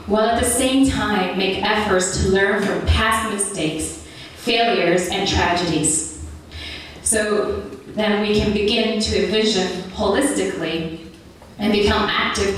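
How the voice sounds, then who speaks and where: A young woman from Ethiopia giving an earnest, measured prepared speech at a public meeting. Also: medium pitch, a little hiss or hum. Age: young adult. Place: large meeting hall. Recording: echoey hall recorded from far off.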